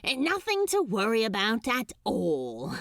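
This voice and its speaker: A woman's voice: on the high side, huge pitch swings, slow, no echo, studio quality, exaggerated voice. A woman voicing a brash, reckless male demon in an audiobook-style story, with a cocky, gleeful manner. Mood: cocky bravado.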